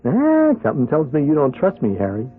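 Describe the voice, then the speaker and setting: An actor playing a pushy, cocky young small-time hoodlum in a radio drama, with an American accent and a wheedling, needling, slangy way of talking. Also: male voice, fairly high pitch, narrow, tinny audio. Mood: eager. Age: young adult. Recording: band-limited radio drama recording with music.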